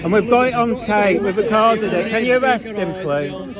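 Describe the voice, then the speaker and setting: A man's voice: high voice, animated, normal speed. Indignant man over crowd noise. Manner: indignant, complaining, rambling restarts. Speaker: protester filming a street confrontation. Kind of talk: heated street argument. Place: outdoor city street at a protest. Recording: street recording with crowd noise. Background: loud protest crowd.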